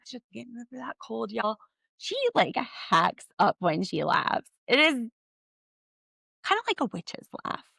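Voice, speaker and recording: A woman hosting a podcast alone, recapping a reality show in a chatty, sarcastic way with jokey asides and wide swings in pitch and pace. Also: vocal fry, a bit bright, no room sound. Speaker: podcast host. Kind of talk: solo recap commentary.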